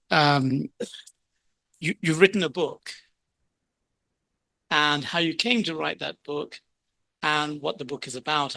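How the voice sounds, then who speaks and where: A man's voice: fairly high pitch, no room sound. A man hosting the talk, politely and hesitantly putting a question to the speaker. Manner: polite, hesitant, asking gently. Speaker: event host.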